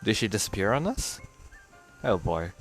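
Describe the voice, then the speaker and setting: A man's voice: fairly low pitch, very animated, normal speed, soft background music. A man streaming a game playthrough, casually commentating with wry asides and reading on-screen text aloud. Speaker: streamer playing through a game.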